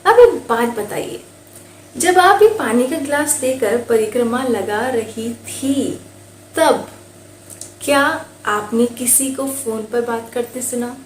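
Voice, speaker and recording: Storybook temple priest played by an Indian woman: calm, courteous, measured guidance, high register, faint background noise. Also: reverberant, poor audio. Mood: patient questioning. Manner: polite, measured, instructive. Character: calm, patient, wise male temple priest. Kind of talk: storybook dialogue.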